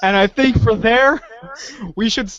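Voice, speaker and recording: Slow-paced male comedy show host. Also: extremely high pitch, a bit bright, dull audio. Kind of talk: casual comic announcing.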